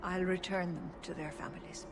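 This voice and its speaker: A solemn elder of an elven clan, with a lowish voice and measured, protective gravity. It is video game drama, with clear background noise. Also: female voice, level tone. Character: grave, protective older female elf clan leader. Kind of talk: video game cutscene acting. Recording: noisy game ambience behind.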